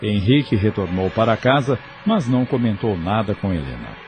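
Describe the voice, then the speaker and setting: A man's voice: on the low side, sing-song, normal speed, music in the background. A man narrating an audio novel in a scripted, dramatic storytelling style, with faint ambient noise.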